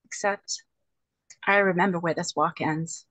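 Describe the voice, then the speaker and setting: A woman's voice: on the low side, steady tone, a little slow, a bit bright, dry recording. A woman playing a wistful, guarded woman in love in a screenplay table reading over video call.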